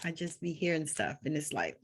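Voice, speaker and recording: A woman hosting an interview, chatty and quick to laugh. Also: low voice, big pitch swings, husky. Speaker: podcast host. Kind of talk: casual interview chat.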